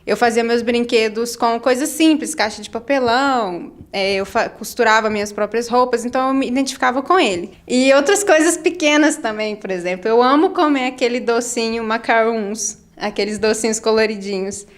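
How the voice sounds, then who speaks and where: A woman's voice: a little high, moderate pace, few pauses. A young Brazilian woman, a psychologist, giving a thoughtful, personal interview answer. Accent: Brazilian Portuguese.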